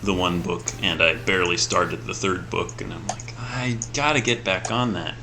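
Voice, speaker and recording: A man with a deep, clear voice chatting casually before a tabletop game, laughing easily as he tells stories. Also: moderate pace, music in the background. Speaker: player. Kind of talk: casual pre-game chat.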